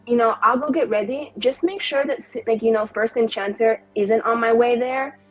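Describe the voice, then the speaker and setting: A woman's voice: a little high, normal speed, no echo, faint music behind, close to an everyday voice, low-quality recording. A woman playing a cocky, spiteful, foul-mouthed female mage in an improvised tabletop roleplay, with brash slangy delivery. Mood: sassy, spiteful.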